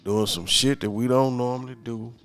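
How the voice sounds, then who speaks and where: A man's voice: mid-range voice, normal speed, fairly bright tone, faint music behind. A man in his fifties with a Houston accent, co-hosting a casual, profane chat show. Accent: Houston Fifth Ward. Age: 50s. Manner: loose, profane storytelling. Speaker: podcast co-host.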